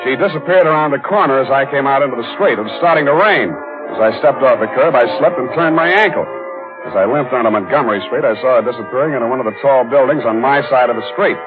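An actor playing a wry, unflappable hard-boiled private detective in a 1940s radio drama, clipped in delivery. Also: male voice, mid-range voice, fast, close to an everyday voice. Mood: terse, grim. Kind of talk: 1940s radio detective drama, dialogue and first-person narration. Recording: tinny old radio recording, loud music behind.

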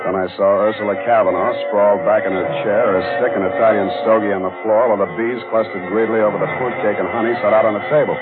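Male actor playing hard-boiled private eye, low voice, quick, wry, clipped, forties radio drama, tinny band-limited recording. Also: fairly even intonation, close to an everyday voice. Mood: terse, grim. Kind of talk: 1940s radio detective drama, dialogue and first-person narration. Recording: tinny old radio recording, loud music behind.

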